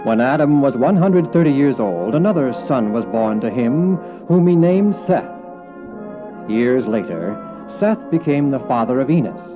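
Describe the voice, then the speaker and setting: A man narrating a Bible drama in a solemn, formal, scripted style. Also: medium pitch. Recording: dull old cassette, music underneath.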